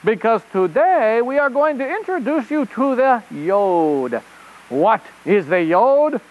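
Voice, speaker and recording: A performer voicing an excitable, easily flustered rabbit reporter puppet in a children's show, with a theatrical delivery and a put-on French accent. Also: medium pitch, takes it slowly, rough recording. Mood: excited. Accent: fake French. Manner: theatrical, exclamatory.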